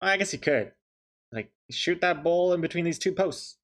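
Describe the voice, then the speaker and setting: A man's voice: on the high side, expressive, moderate pace, a bit bright. Chatty New man streaming. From New Zealand. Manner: chatty, jokey, thanking viewers. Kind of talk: casual chat while drawing on stream.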